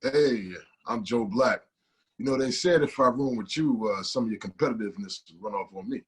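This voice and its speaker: A man playing a friendly, big rookie ballplayer in a dramatic table read, with a husky voice. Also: mid-range voice, fairly quick, natural voice. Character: friendly, big male rookie ballplayer meeting his roommate.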